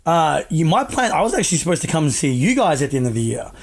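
A man's voice: a little high, sing-song, quick pace, creaky, breathy edge. A man chatting casually as a guest, laid-back and rambling, with frequent fillers and restarts. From Australia. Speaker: guest, wrestler. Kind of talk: casual video-call chat.

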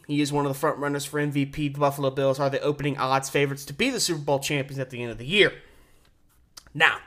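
A man's voice: on the high side, a bit bright. A man in his twenties hosting a radio sports show solo, talking in an emphatic, informal, slangy way. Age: 20s. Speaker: sportscast host on campus radio. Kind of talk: sports talk monologue.

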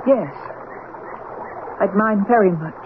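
An actress playing a guarded young married woman in a radio mystery drama, speaking in a hesitant, halting way. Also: medium pitch, lightly acted. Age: young adult. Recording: tinny, very noisy old radio broadcast.

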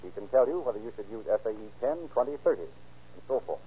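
A man's voice: medium pitch, animated, quick pace, dark tone, slight background noise. An American man narrating and announcing in a formal, declamatory 1930s radio style. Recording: tinny, narrow 1930s radio recording.

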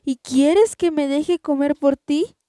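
A woman voicing a frightened, indignant runaway tortilla in a storybook reading, with a high, loud, exclaiming voice. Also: slow pace, no echo, clean studio sound.

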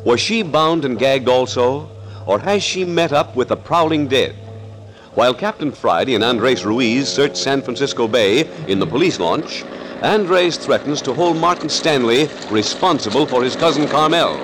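A man's voice: medium pitch, expressive, normal speed, background noise, lightly acted. Theatrical American male announcer for a vintage radio thriller, urgent recap style, swooping delivery over music. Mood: dramatic, suspenseful. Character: dramatic, suspense-building radio serial announcer. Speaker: narrator. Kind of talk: 1940s radio scripted narration.

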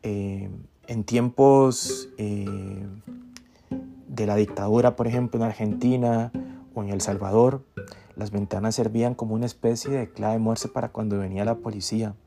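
A man giving a reflective academic lecture in a measured, deliberate way, breaking his phrases with pauses. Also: fairly low pitch, fairly even intonation, vocal fry, mellow. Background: guitar music.

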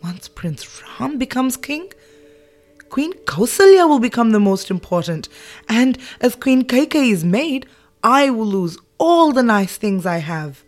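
A woman's voice: a little high, very animated, a little slow, soft background music, voice pushed up. A woman voicing a greedy, scheming old female maid with a dramatic, sly, whispering manner. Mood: resentful.

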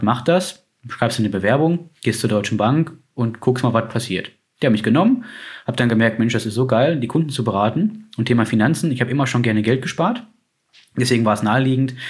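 A man's voice: mid-range voice, fast. A man talking casually and with enthusiasm about his work as a finance advisor, with plenty of fillers. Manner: chatty, enthusiastic, uses lots of fillers. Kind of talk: casual conversation.

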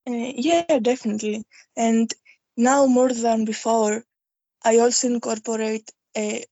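A young adult woman from Greece with a Greek accent, a guest poet who talks thoughtfully in a casual interview, pausing on fillers. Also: a little high, fairly even intonation, warm tone, no echo, dull audio. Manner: thoughtful, unhurried, halting with fillers.

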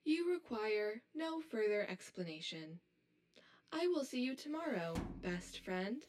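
A woman's voice: on the high side, very animated, unhurried. A woman acting as an anxious, hollow female robot in an audio drama, speaking in formal, stilted, literal phrases. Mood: stiffly reassuring.

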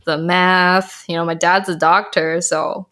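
A woman's voice: lowish voice, little pitch movement, a little slow, a bit bright. Bubbly young woman, lots of "like". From Canada. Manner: bubbly, laughs often, lots of "like" and "um". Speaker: podcast guest, health coach. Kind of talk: casual interview chat.

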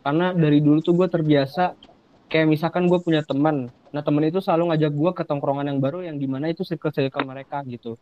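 A man's voice: a little high, fairly even intonation, fast talker. A young man with a Jakarta Indonesian accent, hosting a podcast in casual, slangy chat on slightly dull-sounding audio. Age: young adult. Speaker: podcast host.

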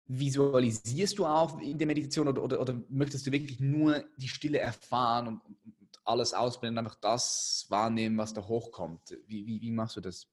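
A man's voice: medium pitch, fairly even intonation, a little fast, husky. A man with a slight cold chatting casually as host, often stammering and repeating words. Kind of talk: casual conversation.

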